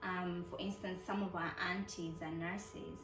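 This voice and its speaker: A woman from Kenya, working as a nurse, talks casually and hesitantly, pausing with ums as she answers. She sits away from the mic in an echoey room, and her voice is a little low. Music plays clearly behind her. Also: little pitch movement, far from the mic. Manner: hesitant, with frequent ums and restarts. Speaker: nurse, interview guest.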